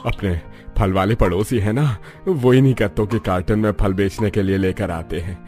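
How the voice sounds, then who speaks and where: Cartoon role: kindly, thrifty old family patriarch, lowish pitch, practical manner, played by a man. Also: normal speed, a little mellow, faint music behind, lightly acted. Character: elderly, thrifty, kindly father-in-law of poor family. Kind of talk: cartoon story dialogue.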